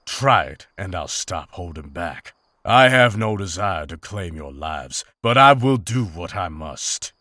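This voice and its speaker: Man playing a low, stern monster hunter. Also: animated, a little slow, pushed and bright, no room sound, clean studio sound, exaggerated voice. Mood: firm warning. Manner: terse, grave, formal. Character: stern, principled monster hunter, weary and commanding. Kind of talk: visual novel voice acting.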